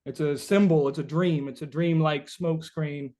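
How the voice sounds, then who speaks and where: A man's voice: fairly high pitch, fairly even intonation, normal speed, mellow. A man being interviewed as a musician, talking reflectively and philosophically, circling back and repeating his phrases as he goes. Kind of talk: reflective, rambling interview conversation.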